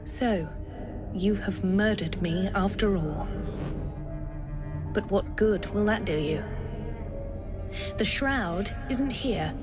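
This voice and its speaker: An actress playing a proud, disdainful Victorian villainess in a video game, deliberate and coldly defiant. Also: female voice, mid-range voice, over music, natural voice. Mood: bitter, defiant. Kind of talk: dramatic game cutscene acting.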